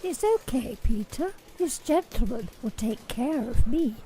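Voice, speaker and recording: A woman acting a charming, mysterious female gambler in an amateur radio play, coy and often breathy. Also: fairly high pitch, animated, a little slow, mellow, low-quality recording.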